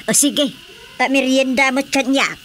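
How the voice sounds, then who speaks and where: A woman's voice: high-pitched, a little slow, a bit bright, slight background noise. A woman with an Ilocano accent playing an easygoing, agreeable mother in a radio drama comedy. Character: easygoing mother, a homemade snack seller.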